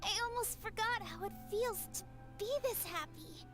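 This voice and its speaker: A woman's voice: extremely high pitch, moderate pace. A woman voicing an eager young girl who loves running, talking in an earnest, heartfelt way over game music.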